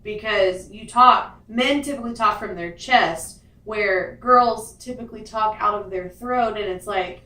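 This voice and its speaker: A woman with a deep voice, chatting casually as a co-host in a frank, rambling way with many fillers. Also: measured pace, hardly any pauses, bright, forceful tone. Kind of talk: casual chat. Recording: roomy, distant mic, faint noise.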